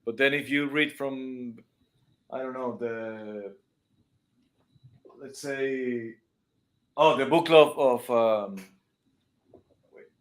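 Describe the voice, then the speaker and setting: A man with a non-native English accent, talking from a room over a video call. He explains at an average pitch, reaching for examples and restarting his phrases. He sounds like a teacher chatting with a host. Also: drawn-out words, pauses often, poor audio. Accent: non-native English speaker. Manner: explains with everyday comparisons, many restarts. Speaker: dance and music teacher; guest. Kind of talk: casual live-stream chat. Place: room at home with cameras.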